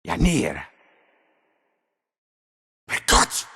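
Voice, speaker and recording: Savage orc warrior, short explosive shouts, very slow delivery, bright forceful edge, raspy, video game combat. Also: male voice. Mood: fierce. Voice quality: raspy, strained.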